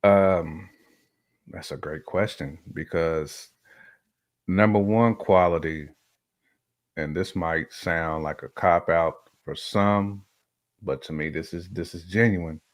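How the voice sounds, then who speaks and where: A man with a deep, low voice talks in a relaxed conversational style. He makes his points emphatically, circling back on phrases to drive them home as a guest on a podcast. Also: takes it slowly. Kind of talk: casual conversational opinion talk.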